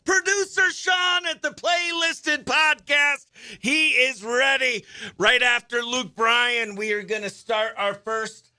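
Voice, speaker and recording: An animated man hosts a live morning radio show with upbeat, chatty energy. His voice sits high and has a very bright, forceful edge. The sound is dry, like a studio, and he breaks readily into exclamations. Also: takes it slowly, dry recording.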